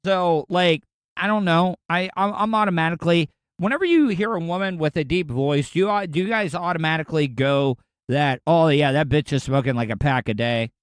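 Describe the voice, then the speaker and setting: A sarcastic man from Florida rants through the news on his podcast, rambling and indignant. His voice sits a little high for a man. The sound is dry and close, with no room around it. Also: moderate pace, smooth, dry recording. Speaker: podcast host. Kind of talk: casual ranting commentary.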